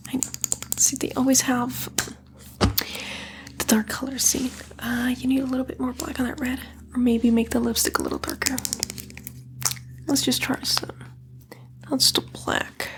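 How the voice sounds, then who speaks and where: A woman's voice: sits high, noisy background. A woman voicing a sly, sassy female demon in a whispered ASMR roleplay, coaxing and flippant, with disdainful slang. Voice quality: breathy, mostly whispered.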